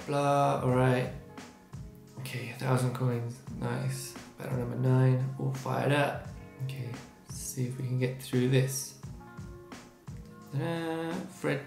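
A man's voice: on the high side, vocal fry, a little mellow, echoey room. A man in his thirties with a strained, sore-throated voice, chatting casually as a gaming channel host while reacting to each result, recorded on a webcam mic at his desk in a small room. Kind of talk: casual let's-play commentary.

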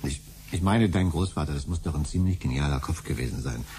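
Impatient scheming family man, very low pitch, mellow tone, pressing manner, vintage radio play. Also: fast. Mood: pressing, curious. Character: Tom, impatient scheming husband and adoptive father. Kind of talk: 1970s German radio drama acting. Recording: slightly noisy 1970s radio recording with hum.

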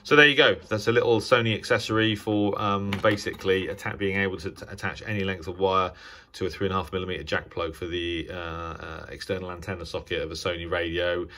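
A British man giving a casual, unscripted hobbyist demonstration, speaking haltingly and often restarting his sentences. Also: low voice, fairly even intonation, sharp tone. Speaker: radio hobbyist presenting a video. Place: indoors at a table.

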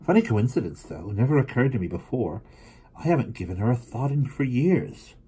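A man's voice: mid-range voice, big pitch swings, fairly quick, dark tone, breathy edge, pitched above the performer's own voice, rough recording. Man doing an easygoing elder brother. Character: young man, easygoing eldest brother, naval.

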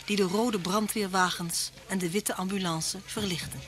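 An actress playing a thoughtful, earnest detective's wife in a vintage radio drama. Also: female voice, mid-range voice, steady tone, normal speed, close to an everyday voice. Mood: narrating. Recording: slightly noisy old radio recording.

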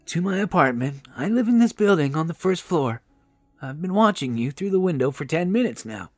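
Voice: medium pitch, lively intonation, moderate pace, no room sound, studio quality, faint music behind, voice pushed up, close to an everyday voice. A narrator voicing a kindly, chatty old grandmother in an audiobook, motherly and emphatic. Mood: urging, kindly.

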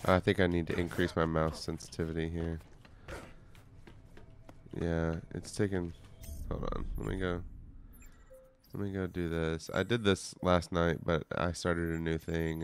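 A man's voice: low voice, fairly even intonation, a little slow, creaky, slight background noise. A man giving loose, jokey commentary while streaming a game, sounding nasal from a cold. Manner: loose, rambling, jokey asides. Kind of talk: casual gameplay commentary.

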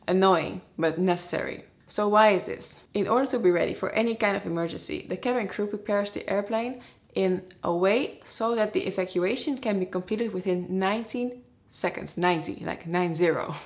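Young Dutch-accented woman explaining. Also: medium pitch. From Netherlands. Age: 20s. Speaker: vlogger, airline pilot. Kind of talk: scripted explainer to camera.

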